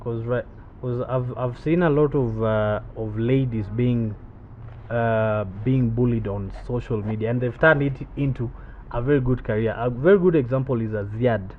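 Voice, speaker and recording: A young man hosts a casual podcast and stumbles through his questions with frequent restarts. His voice is mid-pitched. The audio is tinny and narrow like a phone line, with a faint hiss. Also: moderate pace. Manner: hesitant, with many restarts. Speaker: podcast host.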